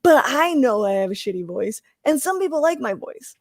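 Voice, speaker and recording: Hyper, raspy-voiced woman host. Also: high voice, moderate pace, no echo, clean studio sound. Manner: animated, hyper. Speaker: podcast host. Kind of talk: casual podcast chat.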